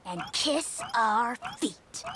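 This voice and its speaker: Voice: drawn-out words, background noise. An actor voicing a tiny, pompous male dragon lord in a video game, arrogant and bratty, with haughty, bombastic delivery. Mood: gloating.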